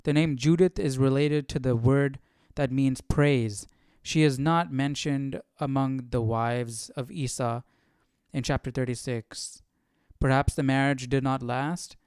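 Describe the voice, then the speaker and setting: Male study host: casual, musing, smooth mid-pitched voice, close dry sound. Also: steady tone, unhurried, darkish tone, no room sound. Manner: musing, questioning, thinking aloud. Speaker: host of Bible study stream. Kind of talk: casual chat, reading and commenting.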